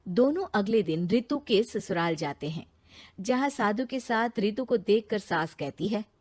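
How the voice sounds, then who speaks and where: A woman's voice: mid-range voice, lively intonation, measured pace, a little mellow. A woman storyteller delivering scripted narration of a moral tale.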